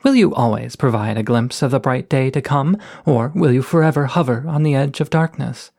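A man voicing a playful, flirtatious poet coyote of low station, reading florid love letters in a lyrical, teasing way. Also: normal speed, gravelly, darkish tone. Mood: lyrical longing.

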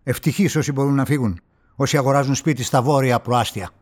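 An actor playing a resentful older general, grumbling emphatically in speech in a radio drama. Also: male voice, mid-range voice, lightly acted. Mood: indignant, bitter. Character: indignant, xenophobic older male general, resentful neighbour.

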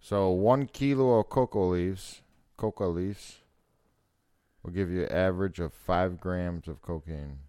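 A man's voice: sits low, a little slow, dark tone, dry recording, studio quality. A man co-hosting a podcast in casual chat, laid-back, laughing often, with curt replies. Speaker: podcast co-host.

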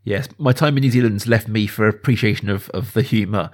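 A man around his thirties or forties hosting a casual film discussion, talking enthusiastically in a halting, discursive way. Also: fairly low pitch. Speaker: podcast host.

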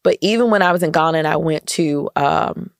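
Emphatic low-pitched American woman, slightly gravelly. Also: fairly quick, no room sound, studio quality. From United States. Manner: emphatic, repeats phrases for stress. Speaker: podcast guest, entrepreneur and community activist. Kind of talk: casual conversational interview.

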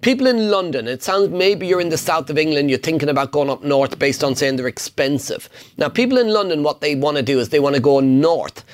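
A man's voice: on the high side, a little fast. A middle-aged man hosting a casual Q&A, answering viewer questions on property investing in an upbeat, emphatic way. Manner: upbeat, emphatic, punchy repetition.

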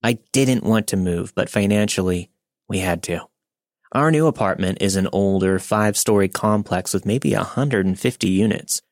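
Brief: low male narrator, calm scripted reading, close dry mic. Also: moderate pace, no echo. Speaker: podcast narrator. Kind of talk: scripted narration of a listener's story.

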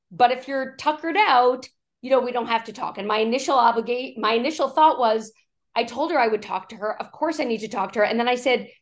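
A woman executive coach, hoarse from a cough, talking in a podcast interview and acting out quoted speech as she tells stories. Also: a little high. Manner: animated storytelling, acts out quoted speech.

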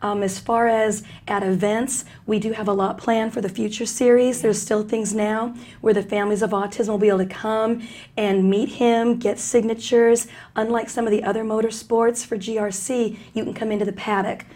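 A woman representing a company as an interview guest, speaking in a measured, promotional way. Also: fairly high pitch, little pitch movement, mellow.

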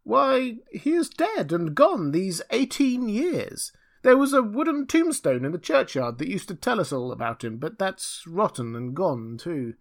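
Guy doing a frail old storybook villager, thin piping high voice, rambly and matter of fact. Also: very animated, a little slow, gravelly, full character voice. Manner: rambling, reminiscing. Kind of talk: storybook character reading.

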